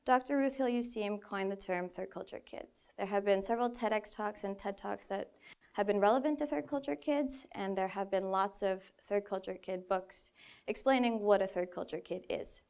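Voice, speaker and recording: A woman's voice: mid-range voice, normal speed, vocal fry, a little mellow, clear, resonant tone. A woman in her twenties from the Philippines, giving a prepared stage talk in an earnest way with a few light laughs at herself. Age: 20s. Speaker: speaker. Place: stage in a large hall.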